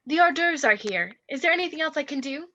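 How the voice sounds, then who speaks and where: Radio play: polite young helper, bright, high, eager to please, a bit lo-fi. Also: female voice, animated, fast, full character voice. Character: polite, eager, deferential young female assistant.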